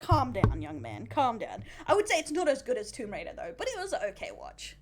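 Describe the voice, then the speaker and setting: A woman's voice: high voice, huge pitch swings, a little fast, background noise. An Australian woman chatting casually on a livestream, giggly, blunt and crude in her joking. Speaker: streamer reacting to a video.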